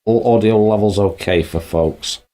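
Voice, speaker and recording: A man's voice: lowish voice, moderate pace, very noisy. Chatty, joking man from Wales hosting. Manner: chatty, jokey, greets viewers. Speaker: host. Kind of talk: casual live-stream chat.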